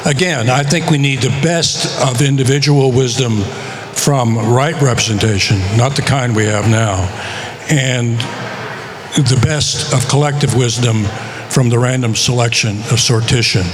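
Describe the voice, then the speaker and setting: A man from the United States speaks at a conference session while he is losing his voice. He talks in a measured way, with frequent pauses and filler words, at an ordinary pitch. A clear hum of room noise sits under him. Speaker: author. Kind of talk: conference talk.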